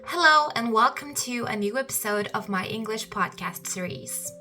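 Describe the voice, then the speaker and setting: A young woman, hosting a solo podcast and talking thoughtfully with frequent pauses. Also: a little high, lively intonation, sharp tone, faint music behind. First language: Turkish. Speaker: podcast host.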